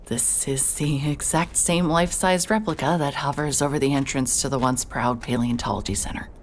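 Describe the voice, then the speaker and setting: A woman's voice: a bass voice, fairly even intonation, normal speed, a little hiss or hum. An actress narrating in first person as a sarcastic, guarded woman investigator who swears when she is upset. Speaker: narrator and lead character.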